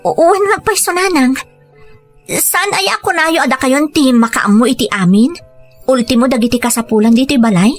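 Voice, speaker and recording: A woman playing a gentle, dutiful young daughter-in-law in an audio drama, speaking deferentially. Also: high-pitched, moderate pace, faint music behind. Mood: modest, questioning.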